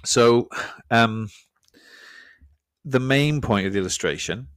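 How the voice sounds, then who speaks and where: A man's voice: medium pitch, slow pace, fairly bright tone, smooth, no echo, studio quality. A man, a preacher, talking over his sermon in a casual church podcast chat, thoughtful and self-deprecating, often repeating words.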